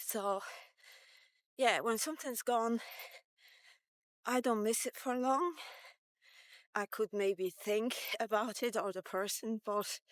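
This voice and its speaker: A woman in her fifties talking candidly and reflectively in a casual, halting vlog monologue, with some swearing. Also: on the high side, steady tone, dry recording. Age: 50s. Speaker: vlogger.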